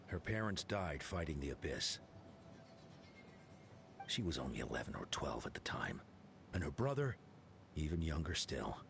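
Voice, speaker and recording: A man voicing an earnest, concerned character in dramatic video game dialogue, with a measured, grave manner. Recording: clear background noise, low rumble.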